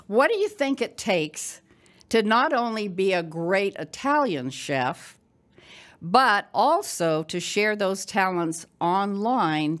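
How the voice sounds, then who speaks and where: Older woman hosting, unhurried pace. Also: mid-range voice. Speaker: podcast host. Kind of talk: conversational interview and sponsor read.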